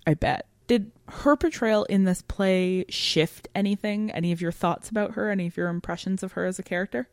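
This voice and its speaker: A woman's voice: medium pitch, a little fast, hardly any pauses, a little mellow, no echo. A woman co-hosting a podcast, talking casually and analytically in a thoughtful way, often feeling for words with "like". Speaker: podcast co-host. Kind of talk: casual analytical discussion of fiction.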